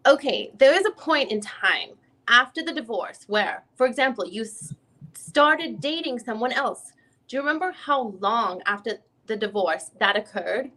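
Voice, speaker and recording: A woman acting as a female attorney with a fairly high voice, questioning a witness in a deposition reading. She is conversational and searching, feeling her way through each question and rewording it. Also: lively intonation, normal speed, bright, forceful tone, rough recording. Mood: probing. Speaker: reader playing a deposing attorney. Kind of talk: dramatic reading of a legal deposition.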